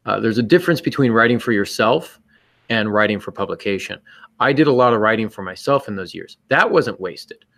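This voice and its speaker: A man's voice: on the low side, lively intonation, brisk, gravelly, fairly bright tone. A man co-hosting a podcast, talking reflectively in an earnest, emphatic, self-critical way. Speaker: podcast co-host and writer.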